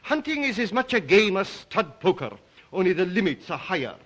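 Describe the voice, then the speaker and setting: Aristocratic Russian hunter count, courtly, brooding, forceful bright tone, raised pitch, vintage melodrama delivery. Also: male voice, big pitch swings, highs cut off, full character voice. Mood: suave, eager. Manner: theatrical, deliberate, brooding, courtly. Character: aristocratic Russian count, suave, obsessive big game hunter. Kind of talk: 1930s film-era melodramatic acting.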